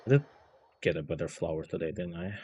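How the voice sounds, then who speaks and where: A man's voice: deep voice, fast, a little mellow, a little hiss or hum. A man giving casual game livestream commentary, muttering and thinking aloud to himself.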